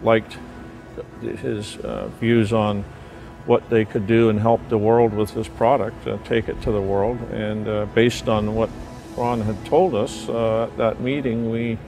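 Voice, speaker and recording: Man in his sixties, low gravelly voice, flat, hesitant, lapel mic outdoors, music underneath. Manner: hesitant, halting with fillers. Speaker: sea farm owner. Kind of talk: documentary interview. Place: outdoors on a deck by the sea.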